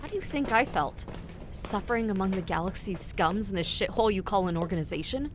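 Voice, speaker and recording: A woman's voice: mid-range voice, lively intonation, over music, natural voice. A woman voicing a cold, smug spy leader who threatens her captors in an audio drama. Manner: smug, menacing, measured.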